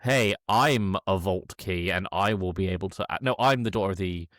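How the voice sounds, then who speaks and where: Male podcast co-host, slightly low voice, hesitant and self-correcting casual recap, very dry recording. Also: moderate pace, a bit bright, dry recording.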